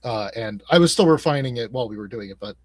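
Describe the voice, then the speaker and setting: Halting man chatting casually in an interview. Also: medium pitch, animated, no echo, clean studio sound. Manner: halting, thinking aloud. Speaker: game designer, interview guest.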